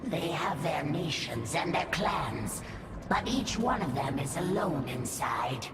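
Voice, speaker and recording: An actress playing an obedient, predatory alien broodmother in a video game, formal and deliberate. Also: deep voice, animated. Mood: cold musing. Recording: distant, echoey, music over voice.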